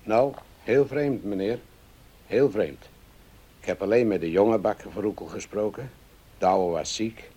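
An actor playing a humble, aggrieved ferryman in a radio play, halting and deferential in a plain-spoken way. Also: fairly low pitch, mellow. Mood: baffled, indignant. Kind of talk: Dutch radio play acting.

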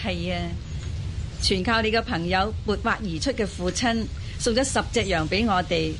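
A woman's voice: medium pitch, normal speed, a bit bright, a little hiss or hum, natural voice. A woman playing a caring, practical middle-aged Mongol mother in a Cantonese audio drama. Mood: matter-of-fact.

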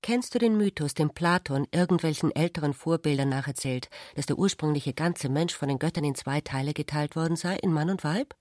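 An actress playing a sharp-witted, provocative, tired sister in a literary radio drama, speaking in an articulate, insistent way. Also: female voice, low voice, a little fast, few pauses, no echo, studio quality, close to an everyday voice. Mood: teasing, curious.

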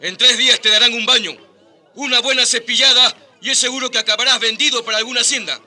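An actor playing a callous, bossy colonial soldier who taunts captives in a radio drama. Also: male voice, very high voice, steady tone, quick pace, sharp tone, exaggerated voice. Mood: jeering. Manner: jeering, bossy.

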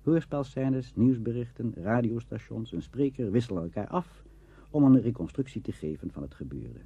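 A man's voice: mid-range voice, animated, moderate pace, gravelly, mellow, husky. A man presenting a scripted documentary in a formal, literary manner. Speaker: presenter, narrator. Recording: dull vintage radio recording.

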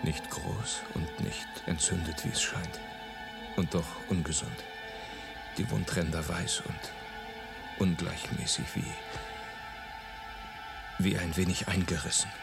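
A gentle, earnest doctor in a radio drama. The voice is deep and careful, measured in delivery, with music playing clearly underneath. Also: male voice, steady tone, heavily acted. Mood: troubled, hesitant.

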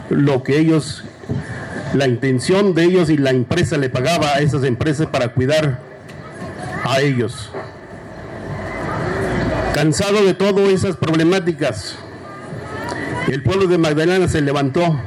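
A man from Oaxaca with a Mexican accent, giving a public speech at a community forum in slow, deliberate short phrases with pauses. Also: fairly high pitch, background noise.

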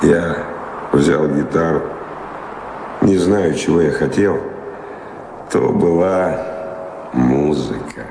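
A world-weary wanderer, speaks with wistful, brooding deliberation in an audio drama. His voice is exceptionally low and gravelly, heard with echo on a noisy recording. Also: slow, long pauses, exaggerated voice. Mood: wistful, reflective. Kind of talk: audio play stage acting. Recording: echoey, noisy old radio-play recording.

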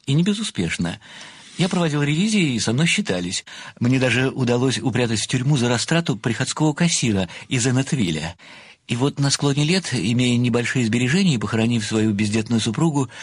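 An elderly retired bookkeeper who plays detective in an audio drama. He is meek and deferential, wordy and earnest, with ornate politeness. The voice is mid-pitched and a little husky, recorded very dry. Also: dry recording. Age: older. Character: meek, obsequious retired bookkeeper turned amateur sleuth. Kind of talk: audio play acting.

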